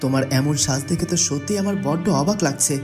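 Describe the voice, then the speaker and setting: A man voices a kindly young prince in a fairy-tale cartoon. His tone is mellow and rounded, with an earnest, questioning delivery. Music plays softly beneath. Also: a little high, fast, close to an everyday voice. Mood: surprised, curious. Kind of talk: Bengali cartoon voice acting.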